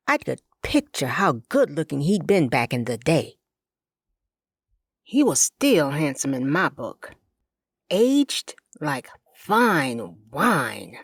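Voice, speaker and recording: A woman reading first-person fiction as an audiobook narrator, in an expressive, deliberate storytelling manner with measured phrasing. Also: low-pitched, no echo, clean studio sound. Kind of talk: first-person scripted fiction narration of a cozy mystery, in character.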